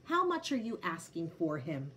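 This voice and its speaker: A woman voicing an elegant, businesslike gentleman in a storybook read-aloud, polite and matter-of-fact. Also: fairly low pitch, wildly swooping pitch, moderate pace, a little hiss or hum, voice pushed down. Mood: appraising.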